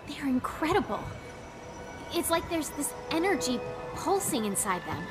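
An actress playing an earnest, curious young hunter in a video game cutscene, eager and inquisitive. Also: female voice, high voice, big pitch swings, a little slow. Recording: game cutscene with loud music.

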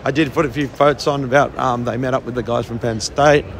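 A man's voice: mid-range voice, steady tone, a little fast. Chatty Australian man on a noisy show floor. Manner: chatty and offhand, pointing things out as he walks. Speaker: vlogger touring a trade show. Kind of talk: casual walking tour commentary. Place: large indoor convention hall, trade show floor. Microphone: handheld phone camera, filming as he walks. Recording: noisy convention hall on a phone.

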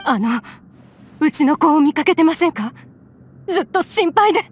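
A soft-spoken mother with a high, gentle voice, polite and anxious. She speaks in a quiet, careful way. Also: expressive, moderate pace. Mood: worried. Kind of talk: game voice acting.

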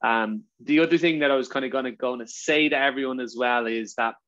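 A man with a strong Irish accent, chatting casually as a webinar presenter, talking fast with lots of fillers. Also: mid-range voice, fairly bright tone, highs cut off. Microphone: video call.

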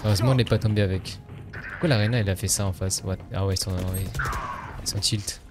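A man's voice: on the low side, steady tone, fast, over loud music. A man live-streaming a game in casual, slangy French sprinkled with English gaming terms, chatting as he plays.

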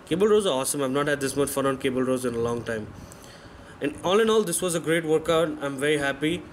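A man's voice: a little high, animated, fast talker, slight background noise. A man in his thirties, a fitness vlogger, giving candid, self-correcting voice-over commentary on his own workout footage. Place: voice-over, not recorded in the pictured gym.

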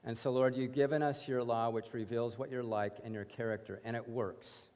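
A man's voice: mid-range voice, steady tone, moderate pace, darkish tone. A man leading an earnest spoken prayer from a lectern on a church stage, building emphasis on repeated phrases. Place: church stage, large indoor sanctuary. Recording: long reverb of a church hall.

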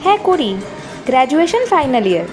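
A woman voicing an earnest, hardworking young college student in an animated story, speaking politely and plainly. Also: sits high, fast, music in the background, rough recording. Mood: polite, steady. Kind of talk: Bengali animated story dialogue.

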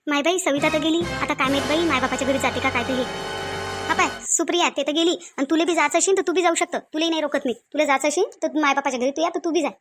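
A woman's voice: sits high, very fast, over music, close to an everyday voice. A woman with a Varhadi Marathi accent voicing a quarrelsome, grudging mother-in-law in a cartoon family drama, scolding and accusing with pointed questions. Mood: defiant.

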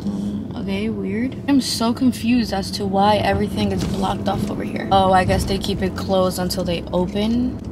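A woman's voice: mid-range voice, fairly even intonation, moderate pace, few pauses. A young woman vlogging to a dashboard camera from inside her car, chatting casually and rambling with lots of filler words. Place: inside a parked and moving car. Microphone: dashboard-mounted camera. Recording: loud car and road noise. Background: car engine and traffic noise.

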